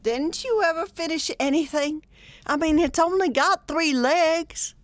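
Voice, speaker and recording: A woman's voice: high-pitched, a little slow, dry recording. An American woman playing an exasperated, long-suffering wife in old-time radio comedy style, chiding her husband dryly. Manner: dry, chiding.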